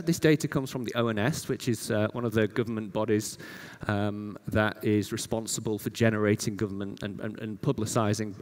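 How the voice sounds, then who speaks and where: A man giving an informal, explanatory conference presentation with emphatic repetition. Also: mid-range voice. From Yorkshire, northern England. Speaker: conference speaker, data scientist. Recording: reverberant hall sound.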